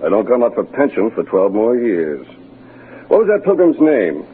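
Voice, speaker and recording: An actor in 1940s radio style playing a middle-aged sheriff's detective, casual but pushy and needling. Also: male voice, lowish voice, big pitch swings, moderate pace. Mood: insistent, needling. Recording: muffled, noisy 1940s radio recording.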